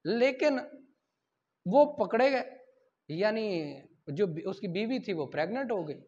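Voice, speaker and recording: Pakistani guy teaching law class, pretty high voice, informal, drops into english for the legal bits, kinda dull lo-fi audio. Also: animated, a little slow, darkish tone. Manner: informal, switches into English to read out legal text; asks questions and answers them himself. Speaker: law lecturer. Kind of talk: classroom lecture, explaining articles point by point. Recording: dull, muffled lo-fi recording.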